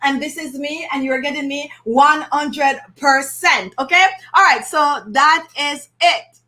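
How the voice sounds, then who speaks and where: A Jamaican woman chats on a live stream in a high, bright voice. She is outspoken and laughs easily as she answers her viewers' comments. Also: a little slow. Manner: outspoken, laughs often, reads comments aloud. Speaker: beauty channel host.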